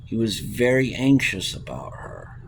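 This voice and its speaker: Slow, measured male audiobook narrator. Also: on the low side, very animated, gravelly, slight background noise, rough recording. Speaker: audiobook reader. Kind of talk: reading a novel aloud.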